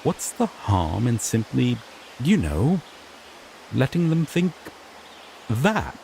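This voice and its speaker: A man's voice: medium pitch, very animated, slow, gravelly, warm tone, slight background noise, full character voice, low-quality recording. A man playing a sassy, exasperated griffin knight in a fantasy audio roleplay, pompous one moment and sardonically grumbling the next. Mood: resigned, wry. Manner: pompous proclamations slipping into flustered, sardonic grumbling.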